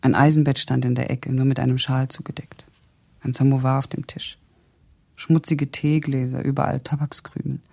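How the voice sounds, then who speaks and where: A woman's voice: extremely low pitch, level tone, moderate pace, gravelly, warm tone, full character voice. An actress playing an educated young Russian woman who recalls events reflectively and precisely in a radio drama. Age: young adult. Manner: reflective, precise, descriptive storytelling. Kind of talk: radio drama monologue as recollection.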